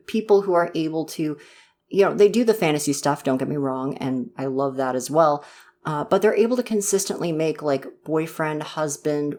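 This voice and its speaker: A young man giving a casual, informal update in a hesitant, self-reflective way, often saying "like" and trailing off. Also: fairly high pitch. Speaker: ASMR voice actor and video creator.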